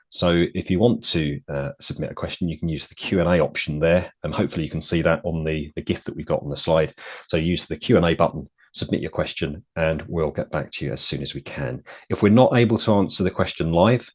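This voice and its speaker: A British man opening a software webinar and running through housekeeping in a friendly, clear way with some fillers. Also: rumbling low. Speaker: webinar host and technician.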